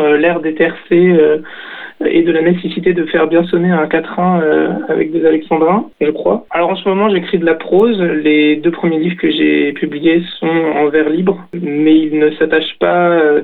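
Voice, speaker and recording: A young man, a poet, answering interview questions thoughtfully, with many pauses and restarts. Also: a little high, vocal fry. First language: French. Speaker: poet and author. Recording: tinny phone-like radio sound.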